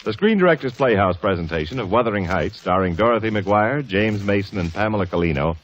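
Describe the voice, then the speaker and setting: A man's voice: on the low side, expressive, a little fast, slight background noise. A man announcing in scripted 1940s radio style, formal and emphatic. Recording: dull old radio broadcast.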